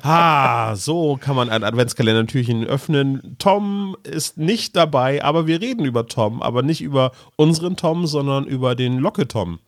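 A man's voice: mid-range voice, moderate pace, few pauses. A man, hosting a podcast and chatting casually about radio dramas. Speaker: podcast host.

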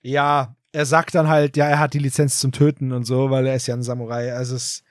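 A man's voice: mid-range voice, flat delivery, brisk, dry recording, studio quality. A man co-hosting a podcast, retelling a story in a loose, rambling, chatty way. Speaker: podcast co-host. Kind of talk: casual episode recap.

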